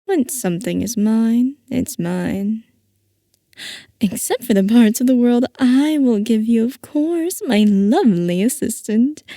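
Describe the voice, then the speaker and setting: A woman playing a playful, possessive scientist boss who dotes on her assistant, in a soft, coaxing ASMR roleplay. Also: a little high, animated, slow pace, no room sound, studio quality, heavily acted. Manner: soft, coaxing, affectionate villainy.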